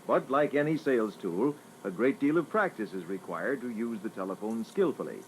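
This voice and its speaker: A man narrating an instructional training record in a formal, measured, scripted style. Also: mid-range voice, lively intonation. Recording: noisy old reel-to-reel tape.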